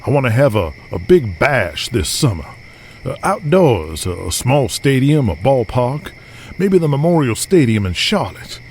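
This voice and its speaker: A man's voice: medium pitch, very animated, unhurried, darkish tone, a little hiss or hum, voice pushed up. A man playing a confident, scheming outlaw wrestler and promoter, plain-spoken and self-assured, in an audio drama. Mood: fired up. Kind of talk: audio drama character acting.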